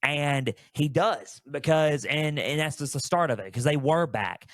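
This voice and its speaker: A man's voice: on the high side, measured pace, no echo. A man in his early twenties talking solo as a sports podcast host, conversational and storytelling, repeating phrases for emphasis.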